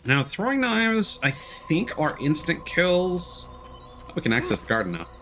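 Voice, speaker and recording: A man giving casual, thoughtful let's play commentary, often halting mid-sentence as he works through an idea. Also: a little high, sing-song, a bit bright. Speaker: game streamer. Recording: game music behind the voice. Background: video game music.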